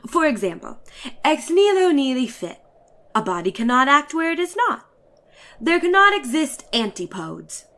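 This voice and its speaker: A woman with a very high, bright voice performs a dramatic reading of a classic story. Her delivery is theatrical and emphatic, with precise, formal phrasing. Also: sing-song, normal speed. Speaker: reader of a short story.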